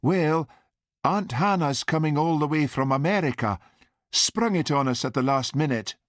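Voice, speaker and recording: A man voicing a busy Highland Scottish mother in an audiobook, plaintive and coaxing, with brisk turns of speech. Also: animated, dry recording, studio quality, close to an everyday voice. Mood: breaking bad news. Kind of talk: audiobook character dialogue.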